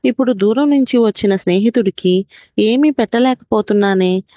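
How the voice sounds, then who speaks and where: A woman narrator plays a stingy storybook fox who makes an effusive show of being a fine host. The voice is mellow and mid-pitched, on a dry, slightly dull recording. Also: moderate pace, no room sound, natural voice. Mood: falsely regretful.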